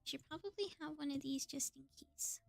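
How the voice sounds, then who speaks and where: High-voiced woman streaming a game. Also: moderate pace, gravelly, a little mellow, faint music behind. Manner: chatty, thinking aloud. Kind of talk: casual live game commentary.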